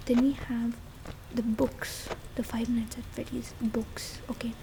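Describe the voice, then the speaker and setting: An excited young girlfriend, played by a woman, chats in a fairly high voice with an Indian accent. She rambles eagerly in breathy half-whispers for an ASMR roleplay, with clear noise behind her. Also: a little slow. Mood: chatty, eager. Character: excited girlfriend gushing about game lore to partner. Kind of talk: ASMR roleplay, soft casual chat in Hinglish. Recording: noticeable background noise under voice. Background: ambient noise, video game playing.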